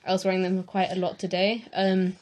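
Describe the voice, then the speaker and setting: A woman's voice: lowish voice, monotone, brisk, a little mellow. A young woman from the UK co-hosting a casual chat, reading out facts and rambling with many ums. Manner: rambling, hesitant with many fillers. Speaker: co-host.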